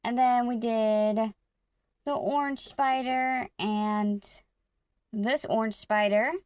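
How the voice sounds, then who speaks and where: A woman vlogging casually about her crochet projects, rambling and correcting herself as she goes, her throat a little rough. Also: a little high, steady tone, slow pace, darkish tone, dry recording. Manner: rambling, self-correcting, talks to viewers while working. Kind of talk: casual chatty vlog.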